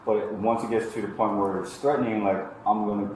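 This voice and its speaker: A man's voice: on the low side, steady tone, a little fast, a little mellow. An American man, a police officer, questioning and explaining informally. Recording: very echoey, noisy bodycam audio.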